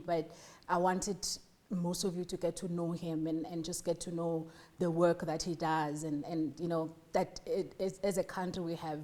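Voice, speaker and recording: A woman's voice: fairly low pitch, dark tone. A woman with a South African accent hosting a casual interview, chatty and teasing, with frequent laughter. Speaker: talk show host.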